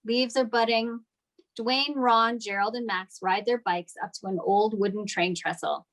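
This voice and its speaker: A woman's voice: fairly high pitch, fairly even intonation, measured pace, fairly bright tone, low-quality recording. A woman narrating the stage directions of a script at a table read.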